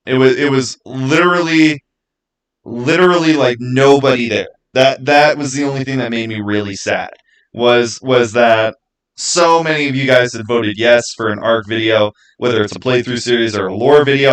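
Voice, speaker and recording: A man with a mid-pitched voice hosts a casual livestream from a small home studio. He talks to his viewers in a loose, rambling way, often doubling back to repeat words for emphasis. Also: moderate pace, a bit bright, rough recording. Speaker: YouTube creator and livestream host.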